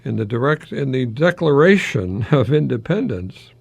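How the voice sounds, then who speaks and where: A man's voice: mid-range voice, sing-song, normal speed, creaky, darkish tone, husky, dry recording. An older man, a retired English professor hosting a folksy radio monologue, musing hesitantly with rhetorical questions and repeated phrases.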